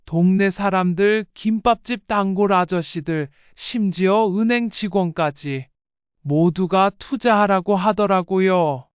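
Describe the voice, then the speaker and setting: Woman narrating a life story audiobook, clear smooth voice, close dry slightly dull recording. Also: mid-range voice, unhurried, no echo.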